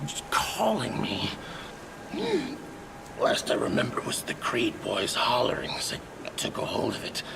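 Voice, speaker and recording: Over heavy background noise, a haunted seafarer for a game cutscene. His voice is deeply gravelled with fry and moves slowly and haltingly. He sounds brooding and weighed down by guilt, slipping into a breathy rasp. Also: heavily acted. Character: haunted, guilt-ridden man, a seafaring storyteller. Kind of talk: dramatic game cutscene monologue.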